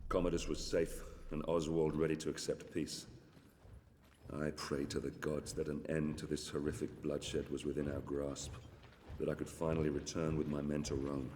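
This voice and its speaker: A war-weary Roman centurion narrating in a somber, reflective tone. His voice is extremely deep and held flat and even. A long reverb trails behind each phrase. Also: slight background noise. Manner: somber, reflective and tired of bloodshed. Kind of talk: cutscene voice-over narration.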